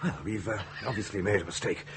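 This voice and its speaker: An actor playing a sensible, polite British gentleman, a mildly exasperated companion in a radio drama. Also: on the low side, quick pace, background noise, lightly acted. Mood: embarrassed, polite. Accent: British English. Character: sensible, apologetic English gentleman, the doubting companion.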